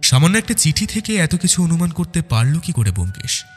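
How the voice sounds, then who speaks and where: A man's voice: a little high, big pitch swings, a little fast, breathy edge, big-room sound, soft background music. A man narrating a detective audio story in a dramatic, scripted first-person style.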